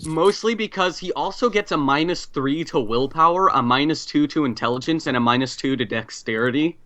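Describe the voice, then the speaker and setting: A man playing a tabletop role-playing game, explaining his character in a halting, casual way. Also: fairly high pitch, pushed and bright.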